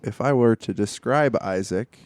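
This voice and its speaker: A man's voice: on the low side, normal speed, a little mellow, no room sound. A man chatting casually as a podcast co-host, musing in a wry, comic way. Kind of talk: casual comedic chat.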